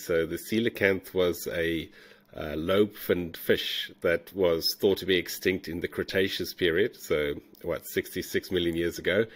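A middle-aged man from South Africa with a South African accent blended with a New Zealand one, telling stories in a relaxed, rambling way on a video call. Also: sits low. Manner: relaxed, rambling with fillers and restarts. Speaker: guest, fossil hunter. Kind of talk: casual storytelling interview. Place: home room.

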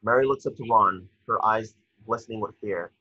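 A man with a husky voice narrating screenplay stage directions at a table read over a video call. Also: lowish voice, moderate pace, pushed and bright, dull audio.

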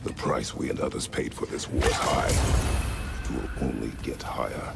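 An actor playing a stoic, battle-weary warrior father in a video game, with a gravelly voice and a terse, grave manner. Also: fairly even intonation, moderate pace, exaggerated voice. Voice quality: very deep, gravelly. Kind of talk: video game drama acting. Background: loud dramatic music.

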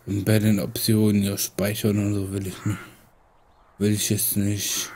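A man's voice: fairly low pitch, completely flat delivery, measured pace, darkish tone. A man with a stuffy nose from a cold, casually commenting while playing a game and teasing the character. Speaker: let's player.

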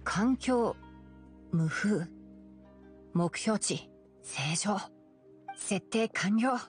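A woman voicing a calm, scholarly young male fox-eared forest ranger in a Japanese anime game, speaking in a measured, explanatory way. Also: medium pitch, soft background music. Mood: composed, focused.

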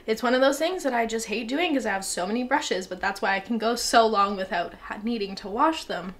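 A woman's voice: a little high, fairly quick. A woman in her twenties chatting casually to the camera at home, bubbly and gushing, with lots of filler words. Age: 20s. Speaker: beauty vlogger. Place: home, bathroom and living room.

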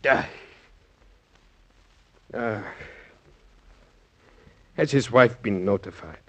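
Husky, authoritative radio-drama doctor. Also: male voice, lowish voice, drawn-out words, fairly bright tone, highs cut off, exaggerated voice. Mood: stern, indignant. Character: authoritative male hospital doctor, stern yet considerate. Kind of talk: 1950s radio acting.

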